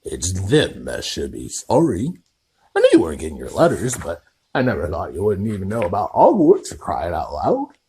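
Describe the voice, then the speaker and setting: A man voicing a huge, hot-tempered but kindly gamekeeper, booming and growling in an audiobook. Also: huge pitch swings, unhurried, dark tone, a little hiss or hum, full character voice. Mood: indignant. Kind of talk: audiobook character voice.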